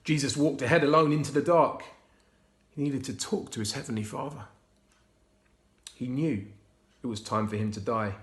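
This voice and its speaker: A man reading a Bible storybook aloud to children, expressive and often hushed. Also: medium pitch, normal speed, long pauses. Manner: hushed, expressive, dropping to whispers. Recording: roomy echo.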